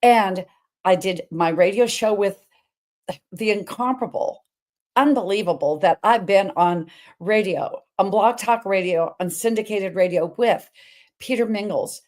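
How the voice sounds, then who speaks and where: Woman, middle-aged, show host, big voice, energetic, emphatic, rambling personal monologue. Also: mid-range voice. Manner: energetic, emphatic, wandering between topics.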